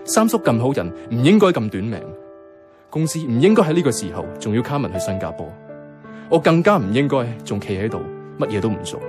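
A man's voice: medium pitch, lightly acted. An actor with a Hong Kong Cantonese accent playing a pensive, regretful young man in a radio drama monologue.

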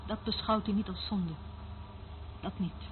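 An actress playing a weary, cynical surveillance worker in a radio play, speaking in a dry, dismissive way. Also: female voice, mid-range voice, fairly even intonation, a little slow, lightly acted. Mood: dry, mocking. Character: weary, cynical woman working as surveillance eavesdropper. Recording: noisy, tinny band-limited old radio recording.

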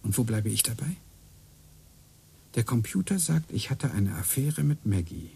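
An actor playing a brooding computer engineer who mutters to himself and grows agitated in a radio play. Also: male voice, mid-range voice, expressive, measured pace, vocal fry, dark tone, breathy edge, heavily acted.

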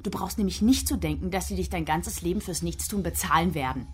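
A woman's voice: fairly low pitch, a little fast, noisy background, natural voice. An actress playing a blunt, proud working-class woman in a radio drama, scolding in combative colloquial speech. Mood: warning.

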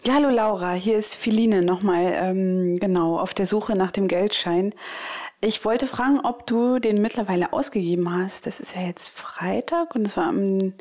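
A woman's voice: mid-range voice, normal speed, a little mellow. A woman talking casually and spontaneously as a reporter, hesitant and searching for words. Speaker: reporter following a banknote. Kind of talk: casual spontaneous field conversation. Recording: noisy outdoor field recording with traffic.

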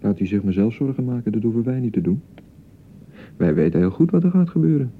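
An awkward, blustering young groom in a radio drama, with a Netherlands Dutch accent. His husky voice moves up and down in a lively way as he haltingly tries to reassure. It sounds thin, as if through a telephone. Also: medium pitch, phone-quality sound. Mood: insistent, reassuring. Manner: halting, blustering, repeats himself. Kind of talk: naturalistic radio play dialogue.

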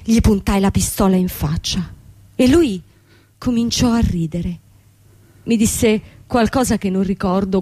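Woman acting a young female boss, radio drama, desperate, proud, halting emotional delivery, mid pitch, dry sound. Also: warm tone, no room sound, close to an everyday voice. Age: young adult. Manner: emotional, halting retelling with repeated words.

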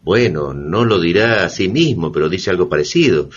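An actor playing a wry, didactic explainer with an ironic, emphatic manner in a satirical educational radio drama. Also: male voice, low-pitched, expressive, moderate pace, highs cut off, a little hiss or hum. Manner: ironic, emphatic, rhetorical questions. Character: wry, didactic male explainer debunking foreign patents.

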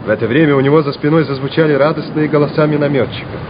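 A man's voice: a little high, steady tone, racing, background noise. Male radio drama narrator, muffled sound. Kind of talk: dramatic scripted narration of a war story. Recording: dull old radio drama recording. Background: music.

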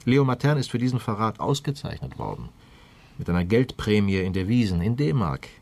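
An actor playing a shrewd police detective in a radio crime play, questioning in a pointed, deliberate way. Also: male voice, on the low side, big pitch swings, gravelly, darkish tone, full character voice. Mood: grimly insistent. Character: shrewd, probing male police detective pressing a suspect. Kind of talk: German radio play dialogue.

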